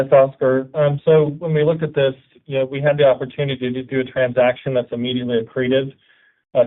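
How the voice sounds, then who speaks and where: Even-toned businessman on a phone line. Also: mid-range voice. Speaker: company executive. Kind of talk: unscripted business answers.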